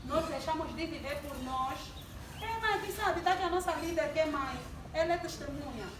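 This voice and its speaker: Young woman in her twenties, high voice, preaching to a congregation and calling for responses; recorded from a distance with room noise. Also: moderate pace. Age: 20s. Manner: asks the audience questions and draws responses. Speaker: church youth speaker. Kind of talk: sermon-style talk to a congregation. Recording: distant mic in an echoey hall with background noise.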